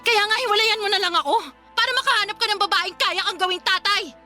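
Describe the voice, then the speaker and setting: Radio drama: irritable grieving wife, very high-pitched, sharp, emotional. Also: female voice, fast talker, soft background music, heavily acted. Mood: angry, bitter. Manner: emotional, snappish delivery. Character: bitter, grieving young wife, irritable and despairing.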